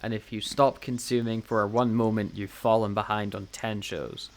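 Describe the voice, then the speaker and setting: A man's voice: lowish voice, fairly even intonation, moderate pace, darkish tone. A man co-hosting a podcast in casual banter, with wry, jokey asides. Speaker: podcast co-host.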